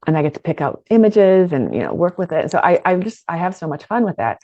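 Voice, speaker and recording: A middle-aged woman with a low voice teaches an online course for business owners. She talks in a conversational, energetic way and often stumbles and restarts her sentences. The sound is dry and slightly dull. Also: quick pace, no room sound. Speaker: business coach.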